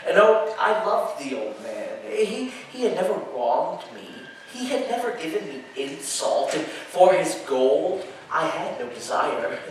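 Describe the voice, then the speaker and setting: A man's voice: fairly high pitch, very animated. Echoey, distant, nervous madman. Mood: brooding, obsessed. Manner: halting, stammering, drawn-out phrases with sudden emphasis. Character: nervous, gloating madman murderer insisting he is sane. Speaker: stage actor performing a dramatic reading. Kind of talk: theatrical gothic horror monologue. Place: live performance space at an amusement park show. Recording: echoey live show, distant mic, faint noise.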